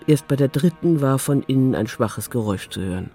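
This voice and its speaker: A man narrating a scripted audio drama in a measured, sober manner. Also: mid-range voice, lively intonation, warm tone. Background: faint music.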